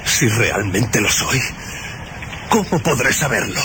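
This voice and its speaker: A man playing a desperate, panicking man in a horror radio drama, halting and repeating himself in anguish. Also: fairly low pitch, big pitch swings. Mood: brooding. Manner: halting, repetitive, anguished exclamations. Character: terrified, desperate man unraveling in claustrophobic panic. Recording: noisy radio drama with loud ambience.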